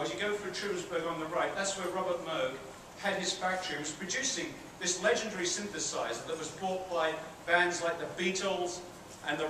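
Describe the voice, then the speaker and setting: A middle-aged British man with a high, bright-pitched voice gives a chatty lecture to students. He sounds far from the mic in a big theatre, with heavy echo and a steady hiss behind him. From Britain. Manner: chatty, asks the audience questions, self-deprecating asides. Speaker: university professor giving a guest lecture. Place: large theatre hall with an audience. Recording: very echoey hall, far-off and noisy.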